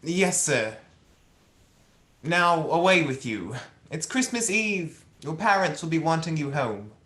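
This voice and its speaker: A man's voice: a little high, expressive, slow pace, fairly bright tone, reverberant. A man playing a timid, kindly, put-upon clerk in a radio play, hesitant and deferential. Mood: nervous, deferential.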